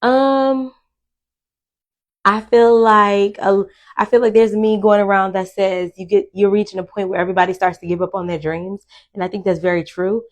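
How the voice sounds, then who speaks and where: A woman's voice: medium pitch, fairly even intonation, normal speed. Blunt, casual woman in her thirties. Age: 30s. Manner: blunt, candid, swears freely, rambling with many fillers. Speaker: podcast host. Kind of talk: casual solo monologue to viewers.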